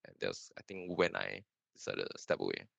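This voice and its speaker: A man's voice: very deep voice, sing-song, fairly quick, vocal fry, fairly bright tone, no room sound. A young man from Singapore, a filmmaker answering interview questions in a hesitant, thoughtful way with many restarts. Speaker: filmmaker guest. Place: lives in Canada.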